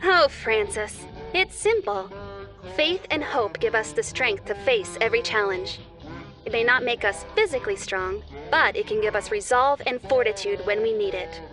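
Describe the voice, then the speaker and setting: Gentle angel host for a kids' cartoon, played by a woman: slightly high, soothing, instructive, music underneath. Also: lively intonation, moderate pace, lightly acted. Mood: gentle, earnest. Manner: soothing, instructive, addresses the young viewer directly. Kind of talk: children's educational show dialogue. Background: background music.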